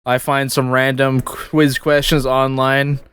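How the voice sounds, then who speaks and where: A man's voice: mid-range voice, little pitch movement, slow pace, a bit bright, clear, resonant tone. A young man co-hosting a casual podcast, sniffly with a stuffy nose, joking and swearing between chuckles. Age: young adult. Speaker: podcast co-host. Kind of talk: casual chat.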